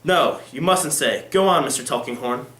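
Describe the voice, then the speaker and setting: A man's voice: mid-range voice, lively intonation, normal speed, fairly bright tone, lightly acted. A young man playing a languid aristocratic lady, echoey room. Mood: coolly curious. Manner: languid, careless, formal.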